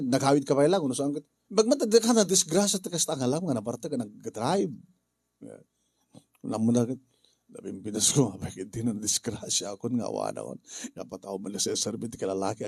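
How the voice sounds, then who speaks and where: A relaxed Ilocano man with a fairly high-set, soft-edged voice. He chats casually and jokily, stopping and starting as he rambles along. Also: no room sound, clean studio sound. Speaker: radio drama actor and host. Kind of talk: casual chat with bursts of singing.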